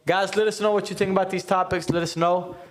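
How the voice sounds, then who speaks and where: Male American podcast host, slightly high voice, casual round-table talk, earnest and emphatic delivery. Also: flat delivery, quick pace. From United States. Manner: earnest, emphatic, repeatedly checks with "right?".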